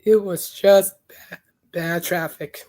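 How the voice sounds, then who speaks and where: A woman hosting a podcast in casual rambling chat, her voice hoarse and raspy from being sick, telling stories with enthusiasm. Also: fairly low pitch, fairly even intonation, slow, mellow. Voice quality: raspy, worn throat. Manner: chatty, enthusiastic storytelling. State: hoarse, voice shot from illness. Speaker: podcast host.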